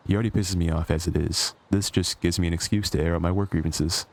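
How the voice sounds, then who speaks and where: Casting: male actor for cocky villain with a soft spot, low and creaky, conversational, sarcastic, close ASMR roleplay. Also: steady tone, fast talker. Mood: jealous, defiant. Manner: teasing, sardonic, conversational. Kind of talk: intimate ASMR roleplay acting.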